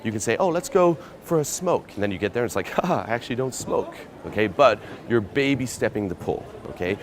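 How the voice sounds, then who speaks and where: A man's voice: medium pitch, animated, quick pace, slight background noise. A man giving a casual, profane voice-over breakdown as a dating coach, peppering every point with "okay" and "you know". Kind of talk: commentary breaking down a recorded pickup.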